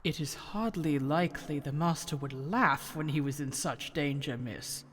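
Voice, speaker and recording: Stolid servant woman, low voice, wary, measured, deferential, period drama, long echoing reverb. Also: slightly rough. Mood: probing, wary. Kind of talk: gothic audio drama acting.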